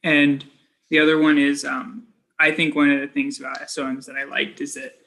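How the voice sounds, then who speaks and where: A young man, a fellow student, asking halting questions on a video call with light laughs. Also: fairly high pitch, monotone, bright, forceful tone, a little hiss or hum, low-quality recording.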